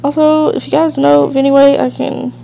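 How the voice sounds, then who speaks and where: A woman's voice: high-pitched, little pitch movement, a little fast, creaky, warm tone. A woman with a nasal, stuffed-up allergy voice giving casual, rambling gameplay commentary as the host of a let's play. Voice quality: nasal, congested, sniffly. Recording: dull lo-fi mic with faint hiss and hum.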